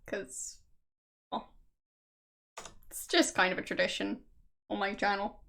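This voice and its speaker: A woman's voice: mid-range voice, little pitch movement, takes it slowly, gravelly, fairly bright tone. A young woman from Austria with an Austrian German accent, chatting casually with her stream viewers and laughing often. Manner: chatty, laughs often, answers chat guesses.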